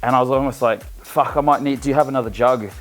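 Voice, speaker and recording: A man's voice: medium pitch, steady tone, fast talker, a bit bright. A young DJ tells a rambling, sweary party story in casual chat. His voice sits in the middle of the male range. Faint music plays behind him.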